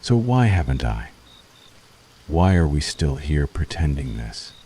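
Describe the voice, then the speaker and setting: A man playing a brooding, conflicted witch hunter in an intense, deliberate ASMR roleplay monologue. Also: rumbling low, big pitch swings, mellow, a little hiss or hum, exaggerated voice. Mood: torn, confessional.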